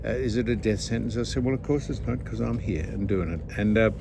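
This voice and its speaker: A man, chatting in a rambling, halting way full of fillers and restarts, with dry jokes. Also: fairly low pitch, vocal fry, noisy background. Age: 70s. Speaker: vlog host. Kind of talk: casual interview chat. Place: outdoors on a boat.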